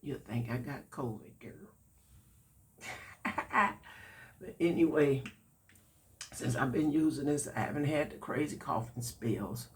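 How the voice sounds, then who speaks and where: Older man in his 60s, congested and raspy, slow folksy vlog chat to viewers. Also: medium pitch, expressive, slight background noise. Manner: chatty, folksy, addresses viewers directly. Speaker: vlogger reviewing a product. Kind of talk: casual chatty review.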